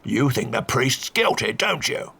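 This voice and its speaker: A man putting on a cantankerous old duke with a gravelly, forceful voice that snarls out every curse. Brutal and profane, he barks his lines gruffly, as in a read-aloud mystery. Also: medium pitch, normal speed. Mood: raging. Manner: gruff, swears constantly.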